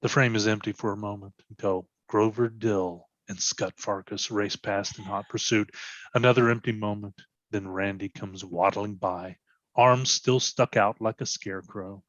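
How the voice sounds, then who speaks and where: A man's voice: fairly low pitch, steady tone, moderate pace, a bit bright, dry recording, lo-fi sound. A man reading stage directions as scripted narration for a readers theatre.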